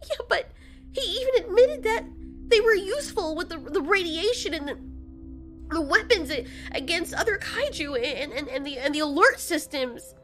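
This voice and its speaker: A woman voicing a compassionate, proud giant moth queen in an audio story, pleading and indignant, with emotional, halting speech. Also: very high voice, expressive, a bit bright, faint music behind, voice pushed up. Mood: pleading, insistent.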